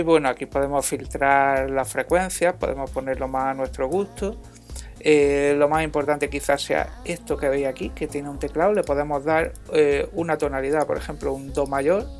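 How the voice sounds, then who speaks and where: A man narrating an informal music software tutorial as an instructor, in a halting, hesitant way. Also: fairly high pitch, gravelly.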